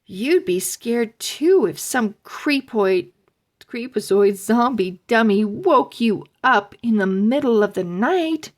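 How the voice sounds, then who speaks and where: A woman voicing a spunky young girl who gets easily spooked, exclaiming in a shrill voice. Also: lively intonation, slow. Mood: spooked, indignant.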